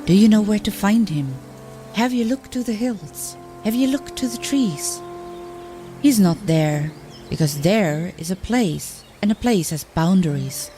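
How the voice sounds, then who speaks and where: A woman reading poetic fantasy lore as an audiobook, in a solemn, declamatory and measured manner. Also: medium pitch, animated, dark tone, soft background music. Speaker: audiobook reader.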